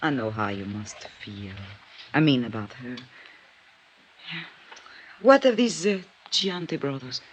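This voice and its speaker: The speaker is an actor, a woman playing a sorrowful, loyal housekeeper in an old radio drama, halting and emotional. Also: a bass voice, lively intonation, muffled recording, slight background noise, full character voice. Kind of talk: 1950s radio drama acting.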